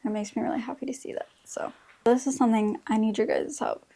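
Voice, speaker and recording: Chatty girl in her 20s doing makeup vlog, slangy, soft-toned voice w lots of vocal fry. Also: on the high side, steady tone, fast talker. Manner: informal, slangy speech with frequent "like". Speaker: beauty vlogger. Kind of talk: casual chatty makeup tutorial.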